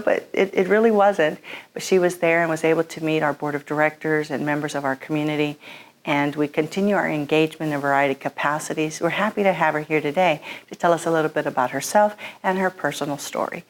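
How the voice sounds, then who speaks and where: Low-pitched woman interview host, formal. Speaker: interview host, chamber of commerce president. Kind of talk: formal welcoming interview with prepared introduction.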